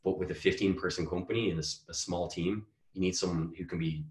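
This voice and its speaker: A man's voice: low-pitched, fairly even intonation, quick pace. A man in his thirties giving informal, emphatic advice as an interview guest on a video call. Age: 30s. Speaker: guest, customer success executive. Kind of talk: conversational interview answers. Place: small bare room at home, on a video call. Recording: echoey room on video call.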